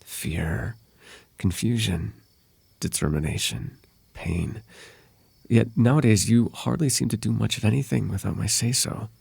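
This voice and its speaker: Man acting a husky, possessive fae prince. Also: on the low side, a little slow, dry recording, exaggerated voice. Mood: tender, coaxing. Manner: courtly, coaxing, softly menacing. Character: possessive, silky male fae royal wooing a captive lover. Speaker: voice actor. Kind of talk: ASMR roleplay acting.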